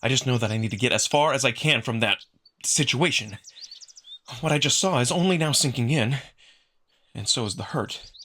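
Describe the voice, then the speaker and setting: Bright-voiced man narrating dramatically. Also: medium pitch, normal speed, pauses often. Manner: expressive, acting out the narrator's feelings. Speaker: narrator, amateur voice performer. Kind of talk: dramatic first-person visual novel narration.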